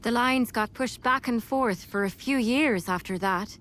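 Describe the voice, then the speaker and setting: High-voiced female fantasy mercenary. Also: unhurried, fairly bright tone. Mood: grave, pensive. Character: seasoned female mercenary, familiar and easygoing. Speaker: video game character. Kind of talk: English game cutscene voice acting. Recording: music behind the voice.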